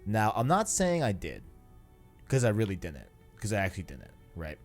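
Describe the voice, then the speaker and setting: A man's voice: on the low side, wildly swooping pitch, normal speed, faint music behind. A man hosting a podcast, chatting casually about video games in slangy speech and often repeating himself as he agrees. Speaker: podcast host.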